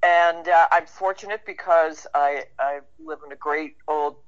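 A woman's voice: deep voice, fairly even intonation, unhurried, dull audio. A woman chatting casually as an interview guest, wry and anecdotal, easing hesitantly into her stories. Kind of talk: casual conversational chat.

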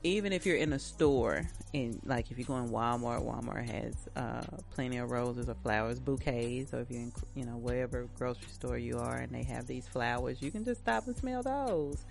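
A woman's voice: extremely low pitch, moderate pace, vocal fry, hardly any pauses, soft background music. A woman hosting a casual self-care talk, chatting directly to her listeners in an encouraging, repetitive way, a little stuffy-nosed from allergies. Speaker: host.

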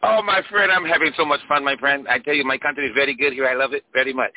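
A boastful caller with a put-on Mexican accent, forever calling everyone "my friend", as a man plays him in disguise. His voice comes through husky and squeezed down a phone line. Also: medium pitch, fairly even intonation, quick pace, dry recording, close to an everyday voice. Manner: repeats "my friend", playful bragging.

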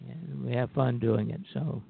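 A man's voice: mid-range voice, steady tone, moderate pace, gravelly, slightly rough, dry recording. An older man chatting casually as a radio show host over a narrow, phone-like connection. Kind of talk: casual chat. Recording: phone-like, narrow band audio.

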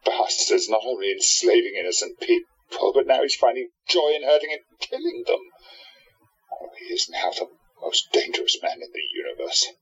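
Radio-drama hero, male actor: tired, short-tempered, sardonic, husky with lively swings in pitch. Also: moderate pace, dull audio. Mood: grim resolve. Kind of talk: radio play acting.

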